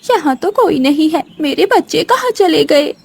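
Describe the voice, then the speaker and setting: Woman voicing a high-pitched, plaintive lazy mother crow. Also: expressive, normal speed, gravelly. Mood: worried. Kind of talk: Hindi children's cartoon voice acting.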